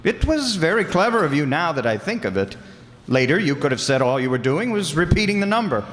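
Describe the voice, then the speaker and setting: An actor playing a shrewd, coolly confident detective in an old-time radio drama, wry and unyielding. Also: male voice, fairly high pitch, sing-song, brisk. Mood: probing, wry. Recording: live stage performance in reverberant hall.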